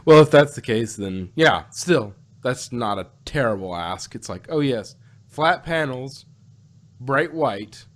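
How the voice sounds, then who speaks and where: A man co-hosting a casual fan discussion, talking in halting phrases with a joking bent. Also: medium pitch, lively intonation. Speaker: podcast co-host.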